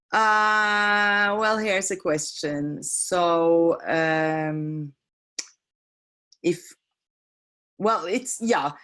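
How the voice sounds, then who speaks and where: A woman teaching feng shui and decluttering in a live webinar. English is not her first language, and she talks haltingly, with many fillers and self-corrections. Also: low-pitched. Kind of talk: live webinar sales pitch and Q&A. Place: home on a small island.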